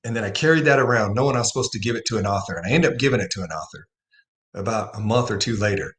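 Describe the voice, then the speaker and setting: A man's voice: fairly low pitch, racing, a bit bright. A man hosting a livestream, talking casually about faith and telling a personal story with rising emphasis. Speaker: livestream host.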